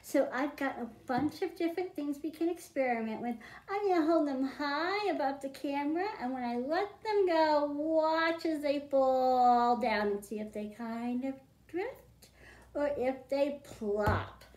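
A middle-aged woman with a slightly high voice leads a storytime for young children. She goes slowly, in simple and deliberate phrases. The indoor room around her adds a clear echo. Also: a little mellow. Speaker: children's librarian, storytime host. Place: small library room with bookshelves, indoors. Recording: roomy, echoing indoor sound.

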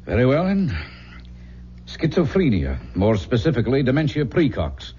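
A grave, paternal family doctor, played by a man in a 1970s radio drama. He is brisk and frank, with animated pitch. The recording is dull and slightly noisy. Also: close to an everyday voice. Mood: brisk, concerned.

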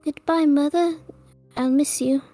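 Woman voicing shy little demigod boy, high pitch, slow hesitant delivery, polite, fantasy game roleplay. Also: steady tone, faint music behind. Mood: wistful. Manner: hesitant, soft-spoken, polite. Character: timid, polite eight-year-old demigod boy.

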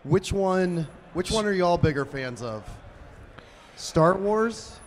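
A man's voice: high-pitched, expressive, slow. Upbeat man hosting a convention stage. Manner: upbeat, chatty, addressing the crowd. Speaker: stage host. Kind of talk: live event hosting. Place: large indoor convention hall stage. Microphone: handheld mic.

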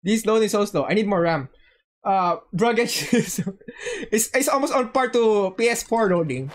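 A woman livestreamer chatting casually over a game in an excitable, joking way, often repeating phrases. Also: medium pitch, measured pace. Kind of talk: casual game stream chat. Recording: game music behind.

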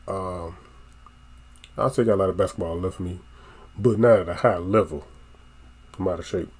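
A man in his forties with a low, deep voice chats loosely to his viewers, reacting to the clips he's watching. He sounds relaxed and talkative, close on a headset mic. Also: normal speed, slightly rough. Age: 40s. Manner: relaxed, chatty, talks back at the video. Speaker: reaction channel host. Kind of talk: casual reaction commentary. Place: small room at home.

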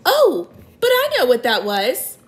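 A woman's voice: high voice, huge pitch swings, a little slow, pitched above the performer's own voice, heavily acted. A woman voicing a polite young boy in a storybook reading.

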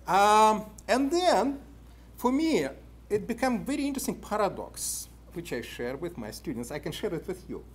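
A man in his fifties with a clear Russian accent lectures at a podium in an indoor meeting room, caught from a distance by the room camera. His pitch rises and falls widely as he talks, with frequent "uh" fillers and questions to the audience. Faint noise sits behind the voice. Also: sing-song, normal speed. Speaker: conference speaker.

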